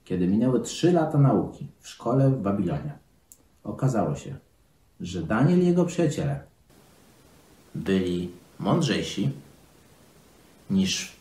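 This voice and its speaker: A man telling a Bible story to children in simple words, halting as he explains, recorded in a small office. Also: fairly low pitch, big pitch swings, dark tone. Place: small office, seated at desk. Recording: roomy indoor echo.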